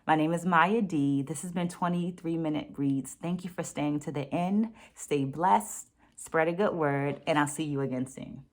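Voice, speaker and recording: A woman hosting a book discussion, speaking in deliberate, emphatic phrases with conversational check-ins and quotes read aloud. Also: sits low. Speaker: book review host.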